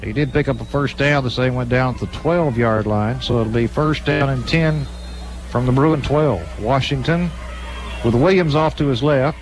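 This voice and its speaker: A man's voice: mid-range voice, normal speed, soft background music, low-quality recording. A man announcing live football play-by-play on the radio in clipped, running phrases. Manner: clipped, present-tense running description. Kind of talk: live radio sports commentary.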